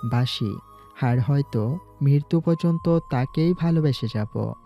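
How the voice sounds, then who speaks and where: Young man, acted, medium pitch, restrained, earnest, audio drama, dull recording. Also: a little slow, faint music behind, lightly acted. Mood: sad, sincere. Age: young adult. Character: calm, guarded young male student, secretly dangerous. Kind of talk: Bengali audio story acting.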